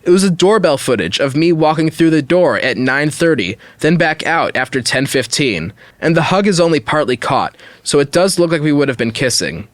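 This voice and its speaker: A man's voice: fairly high pitch, very animated, normal speed, no echo. A man hosting a podcast, reading an online story aloud in a casual way with wry, jokey asides. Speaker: podcast host.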